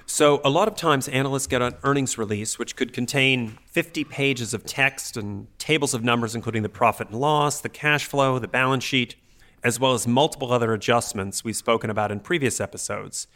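Analytical man explaining in measured phrases. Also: mid-range voice, a bit bright. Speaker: podcast host and financial expert. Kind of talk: explanatory podcast discussion.

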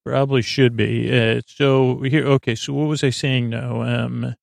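A man's voice: mid-range voice, flat delivery, unhurried, creaky, no room sound. A man hosting a bedtime podcast in a goofy, meandering monologue, stammering and often losing his thread. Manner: goofy, silly. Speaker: podcast host.

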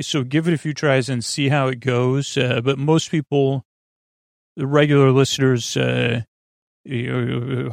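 A man with a creaky, gravelly voice at a middling pitch hosts a bedtime podcast, recorded close and dry. He meanders and stammers, doubling back on his own sentences and wandering into tangents. His delivery is reassuring and puts no pressure on the listener. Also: dry recording. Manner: meandering, halting, stammering with tangents and self-corrections. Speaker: podcast host. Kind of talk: rambling scripted-loose bedtime podcast monologue.

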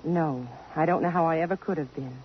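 A woman's voice: low-pitched, a little fast, slight background noise, close to an everyday voice. An actress playing a poised, self-possessed young woman under suspicion in a 1950s radio drama, composed, direct and earnest. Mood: defensive. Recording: dull lo-fi 1950s radio recording.

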